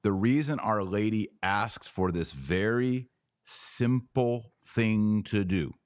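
A man's voice: lowish voice, slow pace, no room sound, clean studio sound. A man hosting a conversational religious debate podcast, arguing emphatically with hypothetical examples. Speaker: podcast host.

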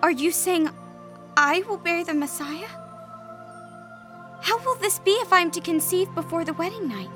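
A woman's voice: extremely high pitch, expressive, moderate pace, pauses often, music in the background. An actress playing a humble, devout young woman full of awe in a dramatized Bible story. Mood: bewildered, awed.

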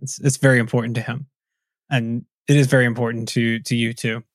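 Relaxed man co-hosting, dry close mic. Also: medium pitch, a little fast, no echo. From Tennessee. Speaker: podcast co-host. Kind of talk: casual chat, reading list items.

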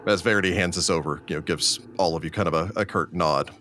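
A man narrating a tabletop role-playing game as game master, in a measured, descriptive storytelling manner. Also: low-pitched, fairly bright tone, a little hiss or hum.

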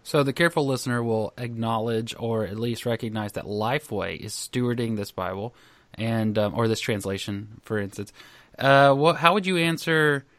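A man's voice: mid-range voice, normal speed, a bit bright. A male podcast host asks his questions casually and with a wry, light humor. His mid-range voice is recorded close and dry, with no echo. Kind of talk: conversational interview questions.

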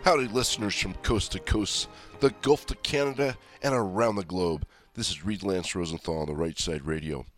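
A man's voice: low voice, moderate pace, soft background music. A middle-aged man hosting a talk radio show, stuffed up from a cold, talking in a folksy, rambling way with chatty asides. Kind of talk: conservative talk radio monologue.

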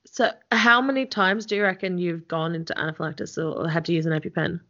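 A woman's voice: fairly low pitch, fairly even intonation, quick pace, a bit bright, dull audio. A woman with a Melbourne Australian accent hosting a podcast in a bubbly, chatty way. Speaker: podcast host. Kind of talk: casual interview chat.

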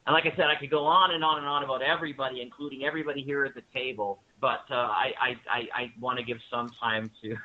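A man's voice: mid-range voice, fairly even intonation, fast, slight background noise. A man speaking as a guest in a panel interview, talking enthusiastically and emphatically about what he admires. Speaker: guest, radio drama maker. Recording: thin phone-like line.

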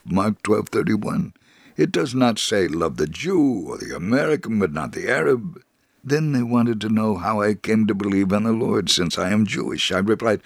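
A man narrates a first-person account in a low, gravelly voice. He reads from a script with measured, deliberate storytelling, and the sound is close and dry. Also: no echo.

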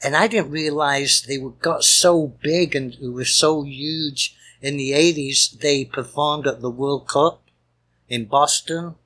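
Bright-voiced man, jovial show host. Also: a little high, slow. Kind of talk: casual chatty radio-style banter.

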